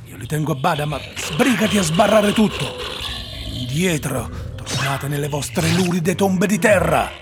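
A man's voice: on the high side, expressive, measured pace, over music. A man playing a proud, prickly warlock in a radio drama. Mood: fierce. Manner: haughty, defiant.

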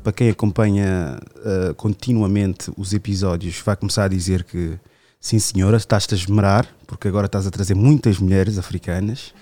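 Um homem com sotaque português europeu, anfitrião de podcast, numa conversa descontraída, com frases soltas, piadas e recomeços. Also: male voice, deep voice, fairly even intonation, a little fast, warm tone. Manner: rambling, joking, with many restarts. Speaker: podcast host. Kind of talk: casual podcast interview.

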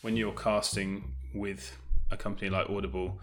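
A man's voice: sits low, level tone, normal speed, a bit bright. A man from Bristol speaking as an interview guest, thoughtful and hesitant, often qualifying himself. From Bristol, England. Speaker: guest, audio drama director. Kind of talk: conversational interview talk.

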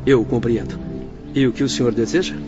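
A slightly muffled radio drama with faint music under a man acting as a kindly doctor. He speaks at a medium pitch, composed, courteous and reassuring in formal phrases. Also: fairly even intonation, brisk, lightly acted. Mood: calm, obliging. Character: kindly, composed male doctor of spirit infirmary.

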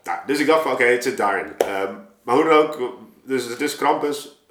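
A man's voice: mid-range voice, lively intonation, normal speed, background noise. A man telling a story casually as a podcast guest, rambling along with plenty of colloquial tags. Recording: roomy, echoing podcast recording.

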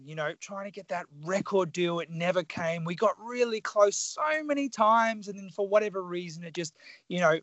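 Australian man, forties, high-pitched voice, chatty storyteller, dry muffled studio sound. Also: no echo. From Tasmania, Australia. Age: 40s. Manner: chatty, animated storytelling with fillers. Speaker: guest musician. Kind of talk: casual radio interview.

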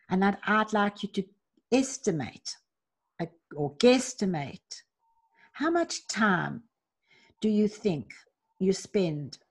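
A woman leading parents through a slow, gentle guided meditation, asking soft reflective questions with long pauses. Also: medium pitch, breathy edge. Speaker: parenting coach guiding a reflection exercise.

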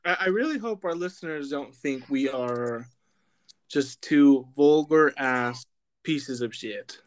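A man's voice: medium pitch, takes it slowly. A man in his late twenties co-hosting a casual podcast, easygoing and self-mocking, often laughing. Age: 20s. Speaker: podcast co-host.

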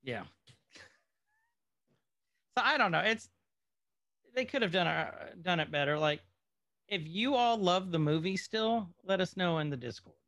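A man's voice: high voice, expressive, measured pace, long pauses, sharp tone, dry recording. A man co-hosting a podcast, chatting casually and with opinions about old movies. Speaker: podcast co-host.

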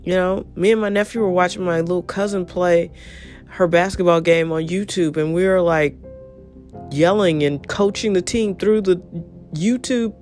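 A woman hosting her show alone, husky and a little nasal from congestion. She talks bluntly and with energy, and she swears casually. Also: fairly low pitch, fairly even intonation, moderate pace, darkish tone, faint music behind. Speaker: show host. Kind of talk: opinionated solo monologue.

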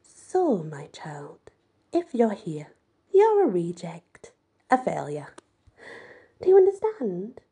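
A woman voicing a spiteful, condescending female teacher in an audiobook, breathy, with drawn-out emphasis. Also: medium pitch, wildly swooping pitch, warm tone, heavily acted. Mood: scornful.

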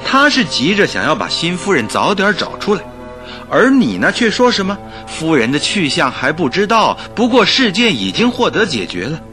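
The detective's needling, skeptical sidekick in a radio play: highish, bright, animated. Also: male voice, normal speed, faint music behind, full character voice. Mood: scolding, teasing. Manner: grumbling, familiar, needling. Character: skeptical, nagging male friend of a detective. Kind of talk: radio drama acting.

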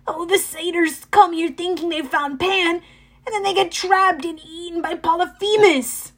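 A woman's voice: extremely high pitch, fairly even intonation, a little slow, pitched above the performer's own voice. A woman voicing a nervous, frightened young male satyr in an audiobook, pleading and anxious. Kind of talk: audiobook character voice.

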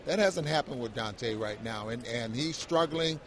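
Mid-pitched man analysing a game. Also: moderate pace, a bit bright, a little hiss or hum. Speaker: sports radio co-host, analyst. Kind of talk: conversational post-game analysis.

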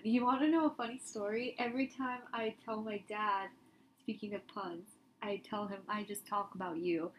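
A young woman co-hosting a casual chat podcast, animated and emphatic, laughing often. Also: mid-range voice, normal speed, a little hiss or hum, low-quality recording. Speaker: podcast co-host.

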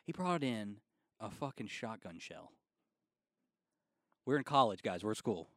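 A man's voice: on the low side, very animated, a little slow, dry recording, studio quality. A man in his twenties co-hosting a casual podcast chat over drinks, rambling through stories with lots of swearing and laughter. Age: 20s. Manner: rambling storyteller, swears a lot, laughs often. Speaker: podcast co-host.

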